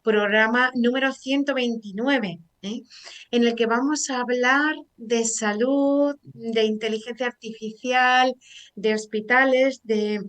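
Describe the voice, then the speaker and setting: Una mujer con acento de España presentando en directo un programa de radio, charlando con soltura y muchas muletillas. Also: female voice, a little high, fairly even intonation, slow. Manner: chatty and warm, frequent fillers like "eh" and "pues bueno". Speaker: radio show host. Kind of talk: live radio talk show hosting.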